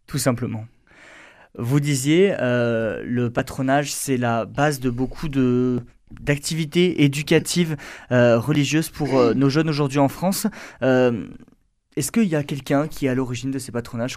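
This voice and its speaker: A man's voice: mid-range voice, steady tone, unhurried, a little mellow. A man hosting a radio interview, putting questions to his guest politely and formally.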